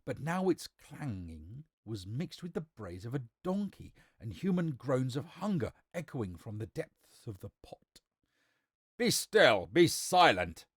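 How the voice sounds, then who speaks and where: Male storybook narrator, theatrical delivery, very lively pitch movement, dry close recording. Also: unhurried, few pauses, husky, no room sound. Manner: theatrical, emphatic.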